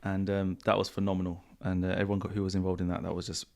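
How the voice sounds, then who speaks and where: A man hosting a podcast in a casual, relaxed way, with a croaky voice and slangy, repetitive phrasing. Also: low voice, very fast. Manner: relaxed, repeats himself, slangy. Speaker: podcast host. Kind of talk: casual podcast chat answering viewer questions. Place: home studio.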